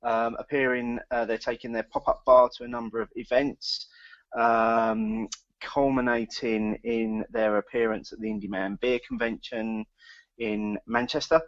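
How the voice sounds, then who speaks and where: A man's voice: mid-range voice, fairly even intonation, unhurried, dry recording, lo-fi sound. A man co-hosting a podcast, reading the news and chatting casually with many ums and restarts. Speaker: podcast co-host.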